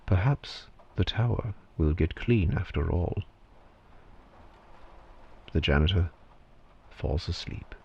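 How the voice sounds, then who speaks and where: A man narrating a scripted story theatrically, with dramatic, deliberate phrasing and pauses. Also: sits low, creaky, a little mellow, slightly rough, muffled recording.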